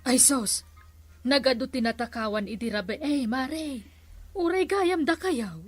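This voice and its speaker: A woman's voice: high-pitched, unhurried, no room sound, faint music behind. A woman with an Ilocano Filipino accent playing an exasperated, gossipy village wife in an amateur comedy skit.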